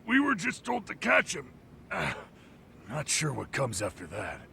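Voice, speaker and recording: An excitable street thug with a high, gravelly voice that swings wildly in pitch. He sounds rough and agitated, as in a dubbed crime game. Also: measured pace, a little hiss or hum, exaggerated voice. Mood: nervous, defensive. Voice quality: creaky, gravelly. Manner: agitated, swaggering, defensive. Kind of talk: English dub acting for a game cutscene. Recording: music behind the voice.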